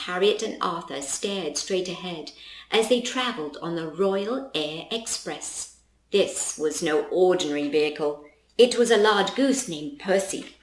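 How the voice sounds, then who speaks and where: A woman narrates a children's storybook at an unhurried pace. Her pitch moves widely and expressively, and the recording has a roomy echo.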